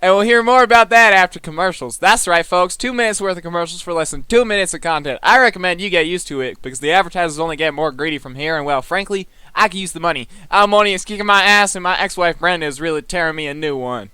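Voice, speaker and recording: A man playing a cynical, money-hungry 1920s radio announcer with brisk, sardonic patter. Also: high voice, bright, forceful tone, clean tone, no echo. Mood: cynical, harried.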